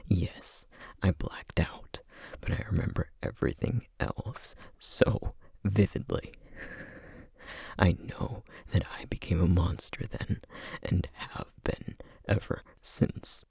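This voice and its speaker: Brooding male essence eater for ASMR roleplay, played by a man: low, gravelly, terse, bitter, menacing. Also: slow pace, a little mellow, dry recording, clean studio sound, full character voice. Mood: anguished, guilty. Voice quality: breathy, gravelly near-whisper. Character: brooding, guilt-ridden male essence eater, a vampire-like monster. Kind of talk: ASMR roleplay audio drama acting.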